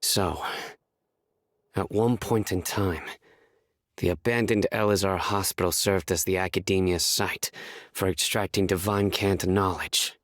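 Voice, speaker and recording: A man's voice: sits low, fairly even intonation, measured pace, dry recording, studio quality. An actor playing a stern, guarded young male general in a video game, speaking in a serious, terse, intense way. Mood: serious, questioning. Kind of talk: video game character dialogue.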